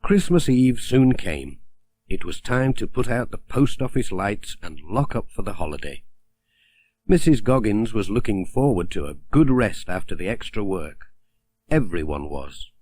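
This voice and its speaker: A man narrating a children's story as an audiobook reader, in a gentle, expressive storytelling manner. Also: on the low side, unhurried.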